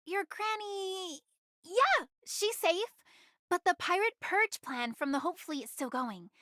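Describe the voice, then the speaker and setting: A woman's voice: extremely high pitch, lively intonation, slow pace, bright, forceful tone, no room sound, clean studio sound, heavily acted. A woman voicing a bossy, sly young woman in an anime game, commanding and teasing in manner. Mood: brisk, urgent.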